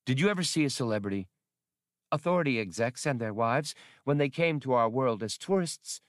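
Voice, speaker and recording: A man's voice: medium pitch, lively intonation, a little fast, no echo, studio quality, lightly acted. A man voicing a formal, theatrical female feline alien co-pilot in an audiobook. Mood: reluctant, objecting.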